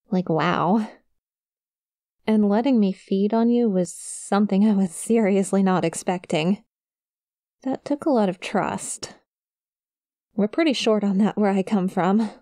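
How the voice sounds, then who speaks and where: Woman as an adorkable, mellow, slow-talking succubus. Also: mid-range voice, dry recording, clean studio sound, lightly acted. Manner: shy, hesitant, giggly, casual. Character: friendly, awkward, adorkable young female succubus demon. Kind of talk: ASMR roleplay, intimate one-sided conversation to the listener.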